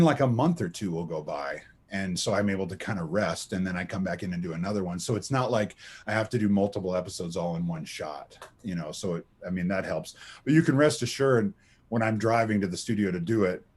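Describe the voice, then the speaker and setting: A middle-aged man with a strong, powerful voice, talking in a relaxed interview. He tells his stories with plenty of life and slips into quick impressions of the people in them. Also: on the low side, breathy edge. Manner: animated storyteller, acts out quotes. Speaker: voice actor guest. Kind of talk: casual interview chat, storytelling.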